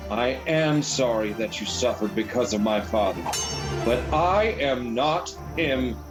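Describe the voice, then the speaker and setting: An actor playing a noble, earnest young king in a fantasy radio play, measured and solemn. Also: mid-range voice, poor audio. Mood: earnest appeal.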